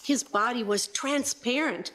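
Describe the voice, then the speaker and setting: A woman's voice: a little high, measured pace, a bit bright. A woman narrating a radio play in a dramatic storybook style.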